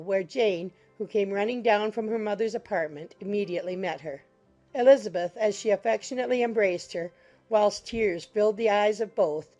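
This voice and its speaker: Lady narrator reading an old novel, medium pitch, measured n formal, music in the background. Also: darkish tone. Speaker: audiobook narrator. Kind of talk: scripted literary narration.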